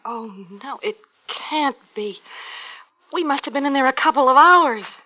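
High-voiced, exasperated, resentful wife. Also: female voice, a little slow, pushed and bright. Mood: subdued, weary. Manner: exasperated, argumentative. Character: resentful young wife and mother, defensive, worried. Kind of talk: naturalistic dramatic acting of a marital quarrel. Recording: dull, lo-fi old recording with noise.

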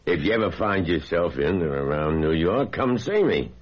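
An actor playing a polite but sly army veteran in a screen drama, hesitant and folksy in manner. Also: male voice, deep voice, sing-song, moderate pace, husky, lo-fi sound, full character voice. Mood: friendly, awkward.

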